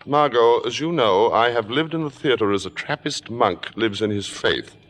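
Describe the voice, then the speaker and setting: A man's voice: mid-range voice, expressive, a bit bright, natural voice. A man playing an urbane, needling theater sophisticate in an old radio drama, pompous and droll, with pointed hesitations. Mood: grandly rapturous. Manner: pompous, droll, deliberate stammering pauses. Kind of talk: 1950s radio drama acting. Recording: reverberant vintage radio recording.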